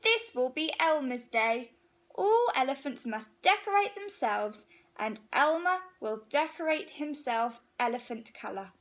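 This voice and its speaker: Woman voicing high, exclaiming storybook elephant. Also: lively intonation, a little slow, fairly bright tone, slight background noise. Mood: amused, delighted. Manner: exclaiming, emphatic. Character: astonished, amused elephants of a storybook herd.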